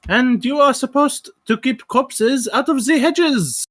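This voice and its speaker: A woman's voice: high voice, lively intonation, slow, full character voice. A woman playing an elegant, furious young aristocratic wife in a dramatic table read. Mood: exasperated, furious.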